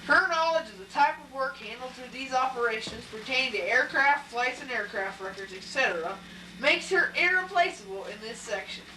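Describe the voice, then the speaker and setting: Formal officer reciting a memo woman acting, amateur stage, slightly high bright voice, distant mic. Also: animated, brisk. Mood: formal, official. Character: formal military boss reading an official memo. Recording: echoey hall, distant mic, faint noise.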